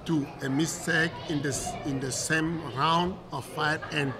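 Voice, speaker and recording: French-accented man, high-pitched, animated. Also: measured pace, over music, crowd noise. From Cameroon. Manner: animated, gestures while talking, repeats words while searching for English. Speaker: MMA coach. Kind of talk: casual interview. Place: hotel room, indoors. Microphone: desk mic on stand.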